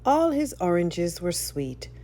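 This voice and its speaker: A woman narrates a story with real theatrical flair. Her voice sits low, and it swings widely up and down as she goes. The delivery is scripted and expressive, shaped like a read-aloud tale. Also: takes it slowly. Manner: dramatic, animated storytelling.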